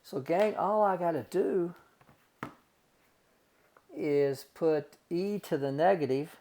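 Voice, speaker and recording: A man's voice: on the high side, slow, mellow. A man teaching a recorded math lesson, working through calculations step by step in a folksy way and calling his students guys and gang.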